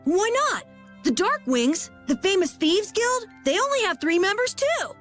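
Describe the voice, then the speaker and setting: A woman voicing an earnest, excitable young boy in a guild, in a video game. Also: extremely high pitch, a little slow, faint music behind, heavily acted. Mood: eager, proud.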